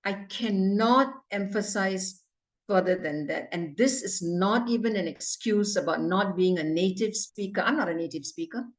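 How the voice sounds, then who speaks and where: A woman's voice: medium pitch, moderate pace, distant mic. A woman with a Malaysian accent, giving a stern, emphatic language evaluation at a club meeting. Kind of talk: club meeting report on a video call.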